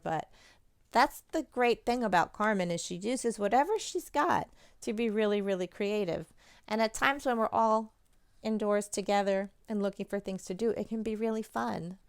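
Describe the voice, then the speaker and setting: A woman's voice: medium pitch, creaky, dry recording, clean studio sound. A woman talking casually and encouragingly to children, giving chatty craft instructions as a presenter. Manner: encouraging, chatty, addressing young viewers directly. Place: indoors at home, at a table.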